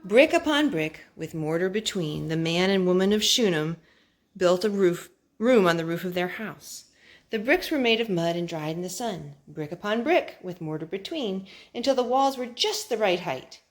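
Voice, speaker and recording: A woman reading a Bible story aloud to children, with expressive, deliberate phrasing and drawn-out words. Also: lowish voice.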